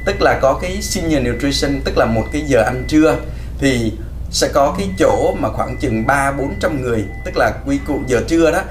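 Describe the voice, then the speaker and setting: Man explaining calmly, soft music behind. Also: mid-range voice, fairly quick. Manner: explanatory, with frequent fillers. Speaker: co-host interpreter. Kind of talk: explaining in Vietnamese.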